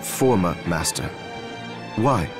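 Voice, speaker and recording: A man's voice: low-pitched, expressive, slow, full character voice. A man playing an aloof, learned alchemist in a video game, speaking in a curt, formal, cool manner. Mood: bitter. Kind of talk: video game character acting. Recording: music behind the voice.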